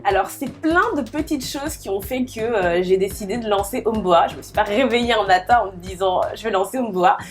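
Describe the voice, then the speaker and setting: A woman in her thirties from the Paris region chats as an interview guest, her mid-pitched voice running on with fillers and restarts. Faint music plays underneath. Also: fairly quick, few pauses. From Paris region, France. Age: 30s. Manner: chatty, with many fillers and restarts. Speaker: guest entrepreneur. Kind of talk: casual interview conversation.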